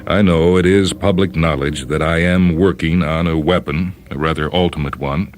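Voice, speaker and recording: A man playing a proud, dismissive male scientist in a story reading, with a formal, self-assured and measured manner. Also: very deep voice, fairly even intonation. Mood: firm, dismissive.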